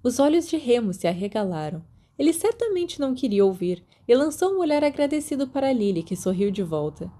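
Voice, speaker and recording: Brazilian woman narrating fiction, soft mellow voice. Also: fairly high pitch, sing-song, brisk, few pauses. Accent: Brazilian Portuguese. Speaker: podfic narrator. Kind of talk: scripted fiction narration, audiobook reading.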